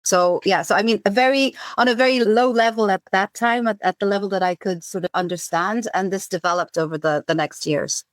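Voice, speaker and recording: Woman in her 40s on a podcast, thinks out loud, dry close mic, mid pitch. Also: brisk, few pauses, no room sound. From Zambia. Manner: thoughtful, many fillers and restarts. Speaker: podcast guest, campaign coordinator. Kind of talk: conversational interview answers.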